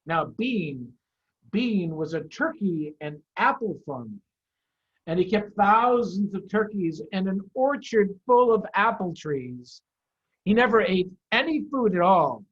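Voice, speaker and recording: A middle-aged American man, a head of school, hosting an online story time and reading aloud to young children in an animated, emphatic way with dramatic pauses. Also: sits high, husky. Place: online video call. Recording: dull, muffled online video call.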